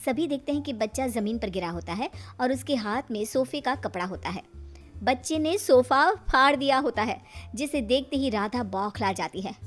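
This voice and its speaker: A woman's voice: on the high side, expressive, normal speed, soft background music. A woman narrating a scripted family story in a dramatic storytelling style.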